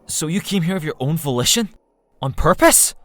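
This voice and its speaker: A man's voice: a little high, lively intonation, moderate pace, a bit bright, no echo. A man voicing a blunt, brash teenage schoolboy in an anime-style game dub. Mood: puzzled.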